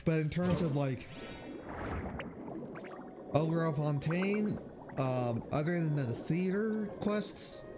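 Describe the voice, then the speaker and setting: A young man giving casual livestream game commentary in a halting, rambling way. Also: a little high. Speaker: game streamer. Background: game music.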